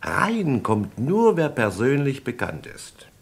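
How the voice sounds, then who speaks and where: A man's voice: mid-range voice, wildly swooping pitch, takes it slowly, vocal fry, husky, exaggerated voice. A man playing a cool, matter-of-fact hired agent in a German radio crime drama, speaking in a dry, laconic, slangy way.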